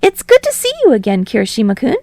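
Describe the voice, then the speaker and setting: A woman voicing a sincere, warm-hearted young man with a cheerful, earnest manner. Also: very high voice, wildly swooping pitch, fast, gravelly, dry recording, exaggerated voice. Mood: cheerful warmth.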